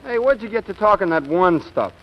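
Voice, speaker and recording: An American teenage boy with a high voice that jumps around. He is blunt, slangy and unimpressed, in old radio comedy style on a dull, noisy recording. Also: expressive, fairly quick. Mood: blunt, scornful. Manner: brash, slangy. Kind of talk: 1940s radio comedy acting.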